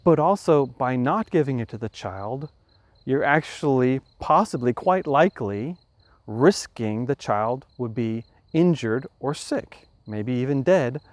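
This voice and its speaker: A man hosting a podcast, talking through a moral question in a thoughtful, measured way, restarting phrases as he reasons aloud. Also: medium pitch, animated, dry recording. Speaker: podcast host. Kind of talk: explanatory talk on ethics.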